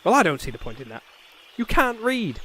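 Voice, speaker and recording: A man's voice: high-pitched, sing-song, fairly quick, pushed and bright, exaggerated voice. A man playing a pompous, stubborn noble knight in a comedy fantasy sketch, haughty and indignant. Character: pompous, blustering noble knight, proud and obstinate.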